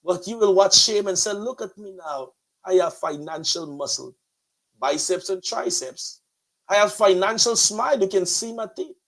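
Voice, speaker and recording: A man's voice: high voice, lively intonation, normal speed, a bit bright, low-quality recording. A man with a Guyanese accent hosting a motivational show like a preacher, with emphatic, flowery, repetitive rhetoric. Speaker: host and pastor of a motivational online show.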